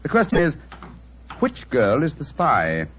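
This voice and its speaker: Brisk British detective, assured, condescending, highish voice, old radio drama, muffled with faint noise. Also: male voice, wildly swooping pitch, fairly bright tone, exaggerated voice. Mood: assured, explanatory. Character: confident, brisk English gentleman detective, coolly analytical. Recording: dull, noisy vintage radio recording.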